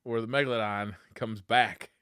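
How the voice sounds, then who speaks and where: A man's voice: fairly low pitch, fairly even intonation, moderate pace, fairly bright tone, clear, resonant tone, no room sound, studio quality. A man co-hosting a casual gaming chat podcast with wry, joking banter. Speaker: podcast co-host.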